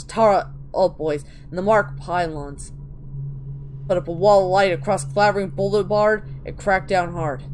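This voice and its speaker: A woman reads video game texts aloud in a theatrical, storytelling way. Her pitch is middling, and she trips on words now and then before picking the phrase back up. The recording has clear background noise. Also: a little mellow, poor audio. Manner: theatrical, stumbles over words and restarts. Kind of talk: dramatic reading of in-game books.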